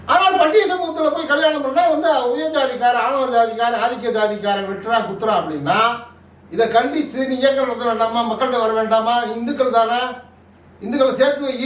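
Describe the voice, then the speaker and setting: A man with a Tamil Nadu accent giving impassioned political commentary in an interview, forceful and oratorical, full of rhetorical questions. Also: very high voice. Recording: echoey room, distant microphone.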